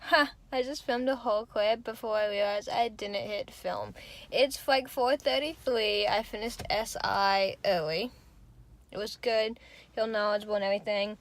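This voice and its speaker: A woman's voice: mid-range voice, moderate pace. A young woman, a college student, chatting casually to her camera as she goes through her day, in a rambling, self-narrating way. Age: young adult. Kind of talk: casual vlog chat. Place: in her car and around campus.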